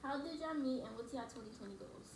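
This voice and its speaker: A laid-back young woman, a bit higher-voiced, talks casually with her partner. She sits back from the mic in an echoey room, with clear background noise around her. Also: quick pace, distant mic. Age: young adult. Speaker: couple vlogger. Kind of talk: casual chat.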